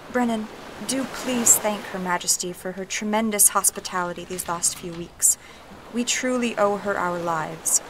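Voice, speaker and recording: A woman's voice: medium pitch, background noise, close to an everyday voice. Woman playing gracious, refined noble lady. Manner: formal, genteel, courteous. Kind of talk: fantasy audio drama acting.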